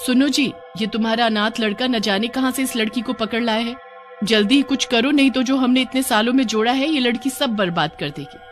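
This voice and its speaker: A woman voicing a greedy, scolding middle-aged wife in a story, with a sharp, nagging, emphatic manner. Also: on the high side, brisk, faint music behind, voice pushed up, lightly acted. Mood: resentful. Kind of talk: dramatised Hindi storytelling.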